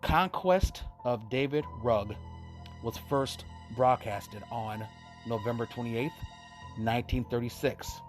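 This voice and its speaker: A man with a mid-pitched voice introduces vintage horror radio as its host. He takes his time through the scripted narration, with music playing underneath. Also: takes it slowly.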